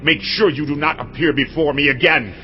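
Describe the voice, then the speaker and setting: A man performs an angry king of Egypt in an audio drama, commanding and menacing. The voice sits fairly high, in a slightly muffled recording with music underneath. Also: fairly even intonation, fairly quick, close to an everyday voice. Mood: angry, threatening. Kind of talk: dramatized audio Bible acting.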